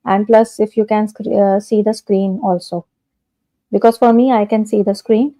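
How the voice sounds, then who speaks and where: A woman's voice: mid-range voice, steady tone, moderate pace, dark tone. A woman hosting a live stream, speaking politely and hesitantly with many fillers over a video call. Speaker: host.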